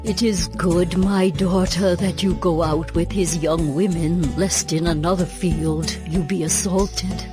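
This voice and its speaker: A woman playing an older, devout mother-in-law in a dramatized scripture reading, counselling with slow, earnest care. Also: on the low side, steady tone, music in the background, lightly acted. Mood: tender, counselling. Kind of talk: dramatized scripture audiobook acting.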